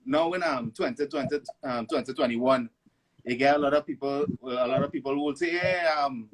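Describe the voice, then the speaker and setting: A man from Venezuela raised in Trinidad, with a Trinidadian accent, talking as a guest in a casual interview, halting with restarts. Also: mid-range voice, a little hiss or hum, low-quality recording. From born in Venezuela, raised in Trinidad. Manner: halting, many restarts and "you know". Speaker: guest artist. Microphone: online live stream.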